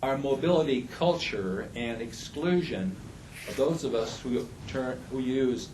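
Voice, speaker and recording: Halting man asking from the audience. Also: mid-range voice, expressive, a little mellow, a little hiss or hum, low-quality recording. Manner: halting, opinionated. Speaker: audience member asking a question.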